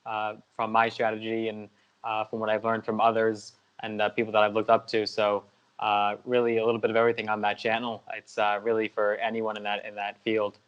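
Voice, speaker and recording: A man's voice: fairly low pitch, completely flat delivery, brisk, smooth, dull audio. A young man from the States, a guest answering interview questions steadily, with many "uh" and "you know" fillers. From United States. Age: young adult.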